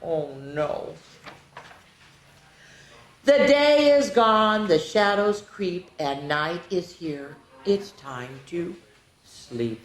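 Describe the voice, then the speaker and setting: A middle-aged or older woman hosting children's storytime, reading picture books aloud in an animated way, stretching key words and chatting directly to the kids. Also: fairly low pitch, very slow, pauses often. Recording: roomy, echoey room sound.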